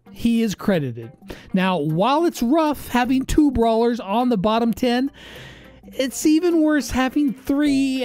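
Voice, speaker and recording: A man's voice: very high-pitched, darkish tone. A man, giving casual, conversational countdown commentary as a video host. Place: small home studio, indoors. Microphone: close boom-arm studio microphone. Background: faint music.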